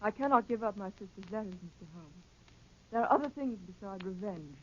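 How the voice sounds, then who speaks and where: A woman's voice: mid-range voice, lively intonation, brisk, darkish tone, slightly rough. An actress playing a distressed but proud young lady in a 1930s radio drama, speaking formally and guardedly. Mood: resolute. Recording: dull, noisy 1930s radio recording.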